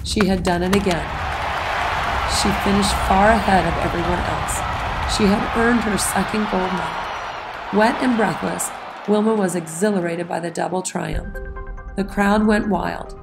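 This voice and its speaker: Woman reading a storybook, gravelly edge, music behind. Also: mid-range voice, fairly even intonation, measured pace, rough recording. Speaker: children's read-aloud narrator. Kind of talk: scripted storybook narration. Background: background music.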